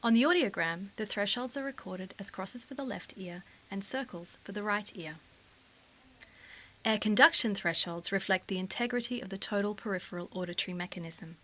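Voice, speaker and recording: Woman, mid-pitched voice, scripted training narration, formal, measured, explanatory. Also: bright, forceful tone.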